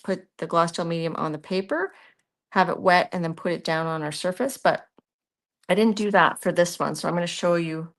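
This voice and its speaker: A woman with a Canadian accent hosting a live art demonstration. She talks through her work as she goes, answers viewers' questions, and often trails off mid-sentence. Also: lowish voice. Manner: chatty, thinks aloud while working, trails off mid-sentence. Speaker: art tutorial host.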